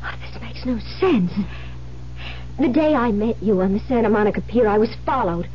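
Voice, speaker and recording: An actress playing a naive, anxious young newlywed wife in an old-time radio drama. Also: female voice, on the high side, lively intonation, moderate pace, natural voice. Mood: shocked, fearful. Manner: breathy gasps, anxious, pleading. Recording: dull, noisy old radio recording.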